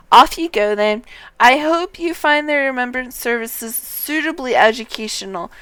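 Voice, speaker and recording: A woman's voice: fairly high pitch, fairly bright tone, clear, resonant tone, dry recording. A woman voicing a polite, chatty female clerk who gives out quests in a fantasy game, with a slightly fussy, formal manner. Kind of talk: reading game dialogue aloud.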